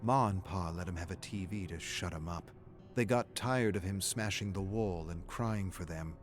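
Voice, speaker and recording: A man with a fairly low voice tells a grim horror tale as its narrator. His delivery is measured, grave and brooding, scripted and dramatic, with faint music underneath. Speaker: story narrator.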